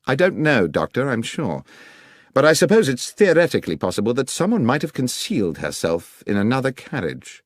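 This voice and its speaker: A man's voice: mid-range voice, sing-song, fairly quick, no room sound, studio quality, voice pushed up. A man voicing a dutiful police inspector in an audiobook, reporting facts earnestly and deferentially. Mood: puzzled, deferential.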